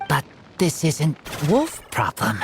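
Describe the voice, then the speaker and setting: Slow, halting wild boy. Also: fairly high pitch, wildly swooping pitch, creaky, over music, full character voice. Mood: earnest, unsure. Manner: halting broken sentences, earnest and blunt. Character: Razor, earnest wild teenage boy raised by wolves. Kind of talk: video game voice acting.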